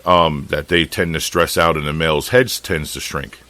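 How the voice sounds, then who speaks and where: A man's voice: extremely low pitch, fairly even intonation, normal speed, pushed and bright, no room sound. An American man talking casually on a phone call, explaining things in an informal, rambling way. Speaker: fish shop owner and podcast host.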